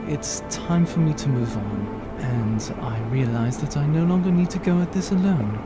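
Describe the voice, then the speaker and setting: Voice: medium pitch, animated, moderate pace, loud music behind the voice, close to an everyday voice. An actor playing an earnest, guilt-ridden adventurer in an audio drama, speaking solemnly.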